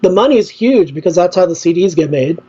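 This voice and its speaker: A man's voice: sits high, lively intonation, quick pace, dark tone, slightly rough, highs cut off. A man who runs a record label, a guest on a podcast, speaking with upbeat enthusiasm. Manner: upbeat and enthusiastic, laughs easily. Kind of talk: casual podcast interview.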